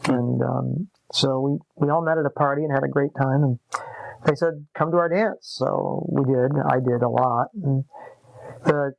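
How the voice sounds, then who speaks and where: A man's voice: mid-range voice, steady tone, gravelly, no room sound. Scratchy-voiced man in his forties. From Massachusetts. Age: 40s. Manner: unhurried, reflective, with pauses. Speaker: interviewee, musician. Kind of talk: relaxed conversational storytelling. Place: upstairs room of an apartment, at a desk.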